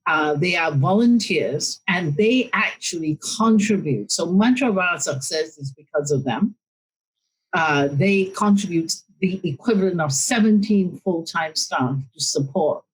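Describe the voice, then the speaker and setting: A woman's voice: sits low, slightly rough, rough recording. A woman guest on a talk show explaining her work earnestly, often repeating words. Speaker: program advisor, guest.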